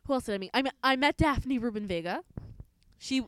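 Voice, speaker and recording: A young woman co-hosting a chatty podcast, rambling along with plenty of fillers. Her voice sits fairly high and sounds smooth and clear. The recording is dry and close. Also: lively intonation, a little fast, a bit bright, no echo. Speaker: podcast co-host. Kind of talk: casual chat.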